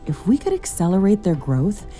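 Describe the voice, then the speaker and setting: A woman playing an eager scientist-commando in a mech suit, speaking crisp, confident, analytical video game dialogue. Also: mid-range voice, huge pitch swings, moderate pace, mellow. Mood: fascinated, analytical. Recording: music bed behind the voice.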